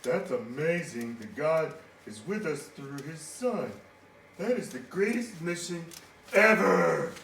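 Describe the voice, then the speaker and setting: A man's voice: on the high side, expressive, slow pace, a bit bright. A man voicing a goofy, forgetful puppet space crewman with a folksy, dutiful manner in a children's church show. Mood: enthused. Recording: echoey room, distant mic, faint noise.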